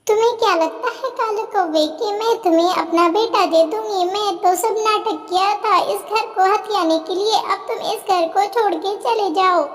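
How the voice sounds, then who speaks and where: Woman as a shrill, bossy scheming female bird. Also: moderate pace, few pauses. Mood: scornful. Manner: bossy, scornful. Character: scheming, domineering female bird, a greedy second wife. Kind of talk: Hindi moral-story cartoon voice acting. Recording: roomy echo, distant from mic.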